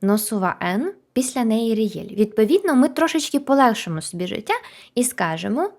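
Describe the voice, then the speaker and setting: A woman teaching a language lesson in an animated, chatty, encouraging way with emphatic repetition. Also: fairly high pitch, fairly quick. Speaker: Korean language teacher and video host. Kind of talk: conversational language lesson to camera.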